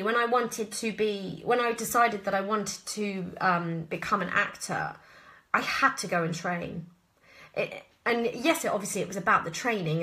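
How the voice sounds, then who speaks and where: An English woman in her thirties with a bright, forward voice, talking casually in a live Q&A. She is an actress with an expressive, chatty delivery that wanders from thought to thought. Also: on the low side. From southwest England. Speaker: actress answering listener questions.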